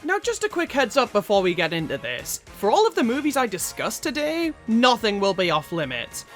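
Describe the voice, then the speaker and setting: Lively, higher-pitched woman narrating. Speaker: video essay host. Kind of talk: scripted video essay narration. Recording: music playing behind. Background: background music.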